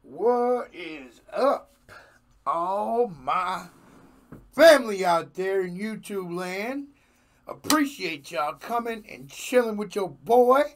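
A young man hosting a reaction video in casual slang, his voice hoarse, talking at a small home studio desk mic. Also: high-pitched, expressive, takes it slowly. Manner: slangy, laid-back, laughs often. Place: small home studio room with jerseys on wall. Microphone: large desk microphone in front.

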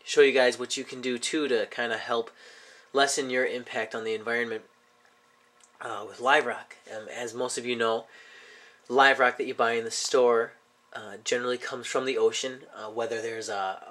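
A man's voice: medium pitch, fairly bright tone. A man sick with a cold, talking casually in a home vlog, hesitant with many ums and restarts. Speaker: aquarium hobbyist vlogger. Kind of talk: casual show-and-tell vlog. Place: indoors at home.

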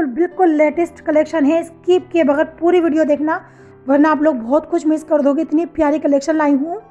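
High-pitched chatty woman with a sore throat. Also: a little mellow. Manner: chatty, addresses viewers as sisters and mothers. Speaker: fashion channel host. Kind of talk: casual voice-over for a slideshow of products.

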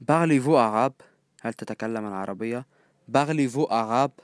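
A man with an Egyptian accent teaching phrases, repeating each one slowly and then glossing it in quick, casual asides. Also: mid-range voice, clear, resonant tone, dry recording, clean studio sound. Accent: Egyptian Arabic. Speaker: language teacher. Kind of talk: phrase-by-phrase language lesson.

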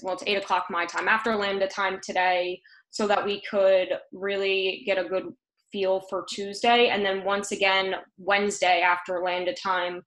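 A young woman presenting a team update on a video call, earnest and often pausing mid-sentence. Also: mid-range voice, a bit bright, poor audio. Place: room at home, indoors. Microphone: computer mic on a video call.

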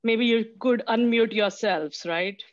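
A woman in her forties from Mumbai giving a mentorship talk over a video call, halting with many fillers and restarts. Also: fairly high pitch, no room sound. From Mumbai, India. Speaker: guest speaker. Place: small room indoors. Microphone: headset on a video call. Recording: dull lo-fi video call audio.

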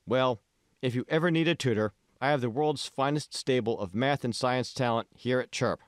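A man's voice: mid-range voice, moderate pace, smooth, no echo, clean studio sound, natural voice. A man voicing an eager, self-satisfied tech billionaire in an audiobook, with a showy salesman's manner. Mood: inviting.

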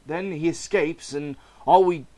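Man acting middle-aged police commissioner, weary doting dad, raised pitch, emphatic, comic-book reading. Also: a little slow, warm tone, natural voice. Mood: exasperated.

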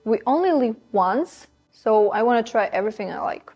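A woman's voice: on the high side, animated, normal speed, breathy edge, highs cut off, soft background music. A woman in her twenties speaking candidly in a studio interview. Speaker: singer interviewee. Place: indoor studio. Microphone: lapel mic.

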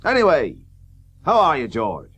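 An actor in a radio play playing a bluff, hearty, excitable Victorian cricketing doctor. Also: male voice, medium pitch, a little slow. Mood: earnest. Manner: blustery, excitable. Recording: hissy old radio broadcast recording.

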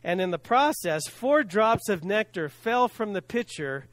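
Middle-aged man, pastor at the pulpit, sincere preaching with soft endings, slightly high, dry close mic. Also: very animated, no room sound. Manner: earnest, preaching from a lectern with pauses and soft drops; self-deprecating laugh at hard words. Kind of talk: sermon. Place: church sanctuary with stage and screen. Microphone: stand mic at lectern, filmed from the back.

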